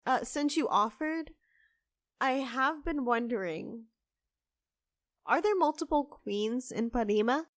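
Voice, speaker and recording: Casting: actress for a secretive, easily flustered young half-fae woman in a fantasy audio drama; slow, halting, dry studio sound. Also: high voice, expressive, no room sound. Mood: earnest, curious.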